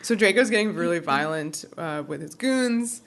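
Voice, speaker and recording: A woman's voice: fairly low pitch, animated, normal speed, slight background noise. A woman co-hosting a podcast, recapping a TV show in casual chat and often breaking into giggles. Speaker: podcast co-host.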